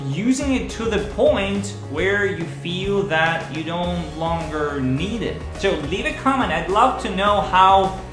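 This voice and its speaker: A man hosting a vlog as a speech coach, talking in a slow, deliberate and earnest way to his viewers. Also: sits high, animated. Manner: slow, deliberate, earnest delivery with measured pauses. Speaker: speech coach and channel host. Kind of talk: direct-to-camera vlog talk. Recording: roomy echo with faint music underneath.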